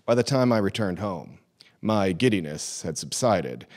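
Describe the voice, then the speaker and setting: A man's voice: sits low, sing-song, moderate pace, a little mellow. A man reading a humorous literary piece aloud in a theatrical manner, with emphatic swells that fall away at the ends of phrases. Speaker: reader at a live literary program. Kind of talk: dramatic reading of a humorous memoir.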